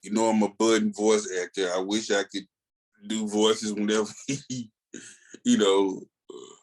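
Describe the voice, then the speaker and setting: A middle-aged man with a deep voice co-hosts a podcast. He chats casually and loosely, in a halting, slangy, laid-back manner. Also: level tone, darkish tone. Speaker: podcast co-host and reviewer. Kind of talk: casual chat.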